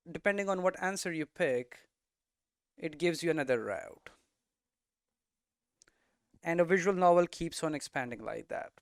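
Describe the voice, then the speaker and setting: A man giving an informal explanatory lesson as a presenter, posing questions and answering them himself in halting, emphatic phrases. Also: high voice, smooth, no room sound, clean studio sound. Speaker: presenter of a game development explainer series.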